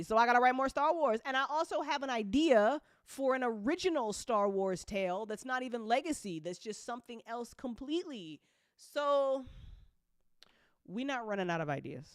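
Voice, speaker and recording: A woman host chatting casually and unscripted to her listeners, candid and excitable, with emphatic repetition, slang and plenty of swearing. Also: medium pitch, dry recording, clean studio sound. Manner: excitable, emphatic with repeated phrases. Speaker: host and audio drama writer-producer.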